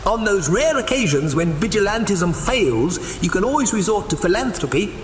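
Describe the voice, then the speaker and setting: Guy doing prim dry butler, high voice that swoops around, very echoey. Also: very animated, normal speed. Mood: dryly reproving. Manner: formal, dry. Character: dry, formal male butler with sardonic wit. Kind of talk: audio drama acting. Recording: echoey cavern with faint noise.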